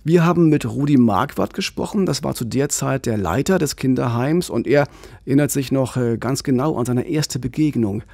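A man in his forties hosting a true-crime podcast, calmly explaining at a studio microphone. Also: mid-range voice, normal speed, gravelly, no room sound. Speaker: podcast host. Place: radio studio. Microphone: studio condenser with pop filter.

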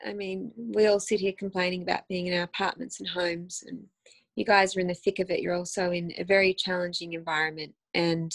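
A woman's voice: on the low side, no echo. A woman in her thirties with an Australian accent, chatting as an interview guest over a phone video call from home, with lots of ums and restarts. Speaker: guest actress. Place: home room, indoors.

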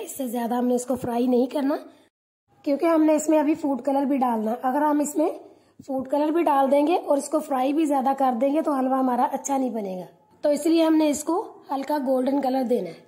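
A woman hosting a cooking channel, calmly giving step-by-step instructions in a tutorial voice-over. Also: high-pitched, a little fast.

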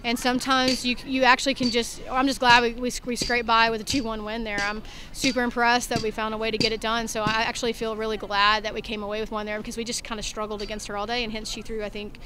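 Woman coach, steady flat delivery. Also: fairly high pitch, fast talker, background noise. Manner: candid, talks through her thoughts with restarts and "I think". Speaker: softball head coach. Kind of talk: postgame interview. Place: outdoors beside a softball field. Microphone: handheld mic held in front of her.

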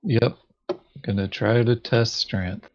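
A man thinking aloud haltingly as he plays a tabletop game in casual chat. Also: fairly low pitch, steady tone, darkish tone. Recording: dull lo-fi voice chat audio.

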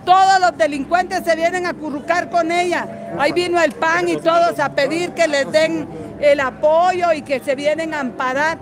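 A woman's voice: very high-pitched, fairly even intonation, normal speed. An older woman from Guatemala speaking as a political activist in an impassioned, combative and salty-tongued street interview. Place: busy city street outdoors. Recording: outdoor street crowd noise, music behind.

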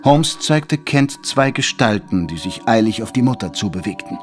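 A man's voice: lowish voice, lively intonation, normal speed. A man narrating a scripted audio drama as a dramatic storyteller. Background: music.

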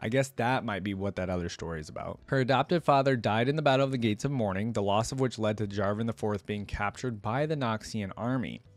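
A man's voice: fairly low pitch, quick pace, hardly any pauses, dry recording, faint music behind. A man in his twenties giving casual reaction commentary, musing aloud as he reads web text at a close desk microphone. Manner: musing, thinks aloud between read passages. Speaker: reaction video host. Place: indoor room at a gaming desk. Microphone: desk microphone close in front, headset on.

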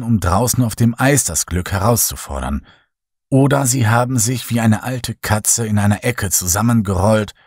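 A man reading a first-person horror story in a slow, hushed, brooding way. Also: on the low side, husky, dry recording, studio quality. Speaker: audiobook narrator.

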